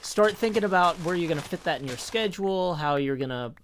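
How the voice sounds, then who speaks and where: A man's voice: fairly high pitch, expressive, fairly quick, fairly bright tone, a little hiss or hum. A man live-streaming a game, chatting in a rambling, casual way and answering his viewers as he plays. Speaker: livestreamer. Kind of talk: casual live-stream chat while playing.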